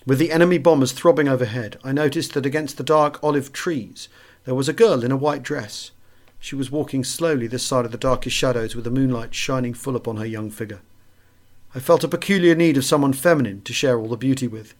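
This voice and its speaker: Male audiobook narrator, mid-pitched voice, for literary first-person wartime memoir.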